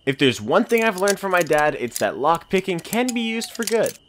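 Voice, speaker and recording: A man's voice: high-pitched, very animated, moderate pace, a bit bright, slight background noise. A young man playing a cocky, playful sidekick in a comic dub, talking in a breezy, joking way. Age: young adult. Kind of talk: animated comic dub acting.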